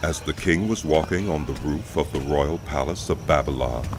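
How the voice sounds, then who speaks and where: Deep-voiced male scripture narrator. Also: moderate pace, background noise. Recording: loud music behind the voice.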